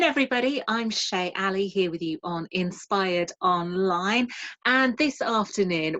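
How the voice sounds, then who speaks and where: Woman host from London, effusive manner, video call, muffled lo-fi audio. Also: mid-range voice. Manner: warm, effusive introductions. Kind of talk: online interview.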